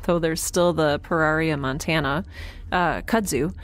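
A woman's voice: low voice, unhurried. A woman playing a thoughtful, curious young scientist, conversational and a little hesitant, in a naturalistic audio drama.

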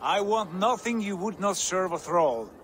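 A booming Viking prince. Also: male voice, animated, measured pace, fairly bright tone, slight background noise. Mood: determined. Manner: hearty, booming, laughs often. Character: boisterous Viking prince returning home. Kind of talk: video game cutscene acting.

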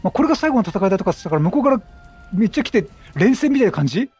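Male let's-player: high-pitched, very animated, playful slangy commentary with a slightly rough voice over game music. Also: racing. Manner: playful, reactive, slangy banter with characters. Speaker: gaming streamer. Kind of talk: casual let's play commentary.

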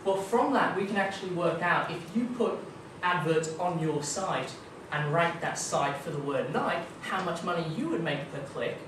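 A man's voice: on the high side, moderate pace, few pauses. A young Australian man giving a casual, chatty tech talk at a meetup, full of ums and kind-ofs. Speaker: guest speaker at a marketing meetup. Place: dim meeting room with projector screen. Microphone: far room camera. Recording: echoey room, distant camera, noisy.

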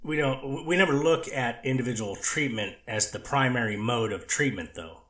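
A man reading the part of a treatment counselor on the witness stand, giving halting, hedged answers with restarts. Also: mid-range voice, a bit bright, close to an everyday voice. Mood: measured. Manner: hedging, restarting answers as in the transcript. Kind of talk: readers theater courtroom reading. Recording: echoey room.

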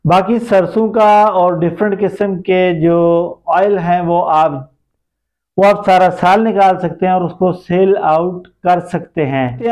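A man's voice: sits high, a little mellow. A middle-aged man with a Pakistani accent, explaining things to viewers as the host of an online video. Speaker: YouTube host. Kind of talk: explanatory business-idea vlog addressed to viewers. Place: small plain indoor room.

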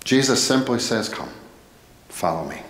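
A middle-aged American man preaching a sermon as a pastor, earnest and deliberate in his phrasing. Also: mid-range voice, expressive, a bit bright. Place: indoor church, at a pulpit. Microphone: headset microphone. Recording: echoey church hall with long reverb.

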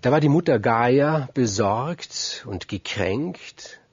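A man's voice: medium pitch, animated, takes it slowly, breathy edge, no echo, lo-fi sound. A man telling myths freely, in a conversational way, repeating words for emphasis and speaking straight to his listeners. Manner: conversational, emphatic repetition, addresses listeners directly. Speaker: storyteller. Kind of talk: free oral retelling of Greek myths.

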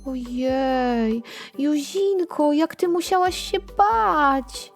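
An excitable, tender little boy from a children's storybook, voiced by a woman narrator. The delivery is slow-paced, with faint music behind it. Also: sits high, pitched above the performer's own voice, full character voice. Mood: tender concern.

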